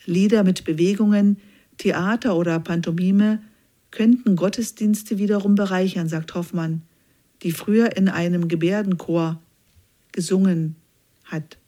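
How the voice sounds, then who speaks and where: Calm woman reading a news feature. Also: on the low side. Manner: even, measured read-aloud delivery. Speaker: news reader. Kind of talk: scripted news feature narration.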